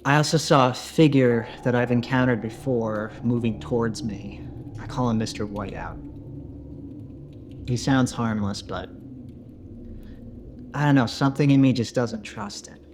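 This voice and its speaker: A man acting as a lone male survivor of an empty world, recording a found-footage confessional. He speaks in a halting, conversational, understated way at a middling pitch, with audible noise behind him. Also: close to an everyday voice. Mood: reflective, wary.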